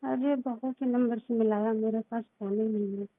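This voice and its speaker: Woman, high voice, reproachful and insistent, private argument over a narrow phone line. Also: steady tone, moderate pace, a crowd in the background. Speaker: private caller. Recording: tinny, band-limited recorded phone call.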